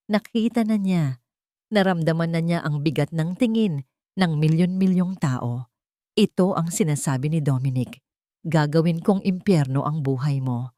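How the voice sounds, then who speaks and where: Woman storyteller, lowish voice, dramatic scripted narration, measured and suspenseful, close dry sound. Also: a little mellow, no echo.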